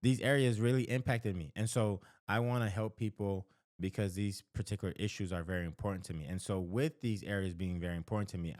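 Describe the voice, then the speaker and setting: A man's voice: sits low, a little mellow, dry recording, studio quality. A man from the American South with an American accent talks as a counselor giving advice, conversational and emphatic, repeating key phrases and often checking in with the listener. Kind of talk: direct-to-camera advice talk.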